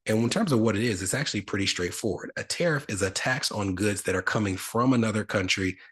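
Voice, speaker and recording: A man's voice: fairly low pitch, lively intonation, fast talker, breathy edge. A man hosting a finance podcast, explaining things in a casual, conversational way with asides. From United States. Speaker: podcast host.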